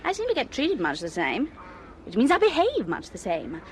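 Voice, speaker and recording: Flippant, wildly animated young socialite. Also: female voice, fairly high pitch, normal speed, slight background noise. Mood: wry, mischievous. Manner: self-mocking, theatrical, teasing. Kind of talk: radio drama acting.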